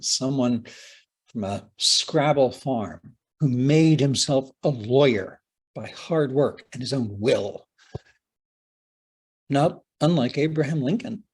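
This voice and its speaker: Male writer-lecturer, medium pitch, raspy edge, literary and theatrical delivery with weighted pauses. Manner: theatrical, deliberate phrasing with pauses for emphasis; formal, literary wording. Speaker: writer giving a conference talk. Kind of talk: prepared lecture read from a script. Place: online talk over video call.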